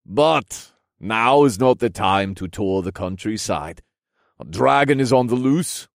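A man voicing a regal, genial fantasy king with formal, measured phrasing in an audiobook. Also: lowish voice, expressive, gravelly, dry recording, studio quality. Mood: weary, thoughtful.

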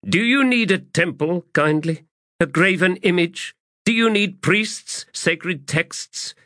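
A man's voice: medium pitch, very animated, takes it slowly, a bit bright, no room sound, clean studio sound, pitched above the performer's own voice. A man reading aloud voices a stern woman commander who speaks harshly and presses hard questions. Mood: harsh, demanding. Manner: harsh, rising to fierce questioning.

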